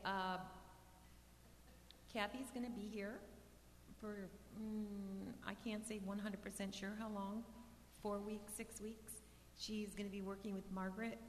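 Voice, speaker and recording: Plainspoken woman manager in a reverberant hall. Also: mid-range voice. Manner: plainspoken, frequent restarts and fillers. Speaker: community park manager answering residents. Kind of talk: casual meeting talk. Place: community meeting hall. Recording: reverberant hall with faint hum.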